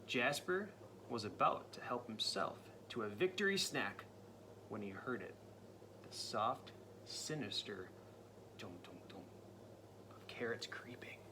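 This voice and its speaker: A man reading a picture book aloud to a child, dramatic and playful, dropping into spooky whispers and jumping to loud cries. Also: medium pitch, expressive, measured pace, long pauses, background noise. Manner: playful asides to the listening child. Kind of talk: storybook read-aloud.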